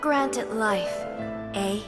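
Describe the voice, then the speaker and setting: A woman playing a gentle, serene dying goddess in a video game, speaking in soft, solemn, poetic lines. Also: mid-range voice, slow, in a large hall, close to an everyday voice. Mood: solemn, hopeful. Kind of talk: dramatic video game voice acting. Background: music.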